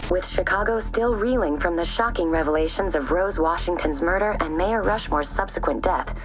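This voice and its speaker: Woman as game newsreader, formal measured delivery, lowish voice, faint music. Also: close to an everyday voice, poor audio. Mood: composed. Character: composed female TV news reporter. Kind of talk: scripted news bulletin in a video game.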